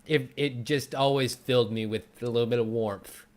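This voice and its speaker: A man's voice: mid-range voice, fairly even intonation, normal speed. A man hosting a casual conversational interview show. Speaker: host. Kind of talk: casual chat.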